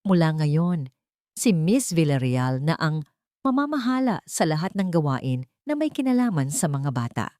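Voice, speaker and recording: A woman's voice: sits low, big pitch swings, moderate pace, dark tone, no room sound, studio quality. A woman with a Filipino accent voicing a troubled, self-doubting wealthy father in an audiobook, terse, hesitant and resigned. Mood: avoidant, stern. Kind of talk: audiobook character dialogue.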